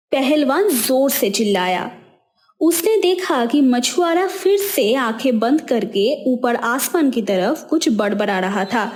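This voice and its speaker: Slow-paced woman storyteller. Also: high voice, a little mellow. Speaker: narrator. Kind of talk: scripted moral story narration for a cartoon.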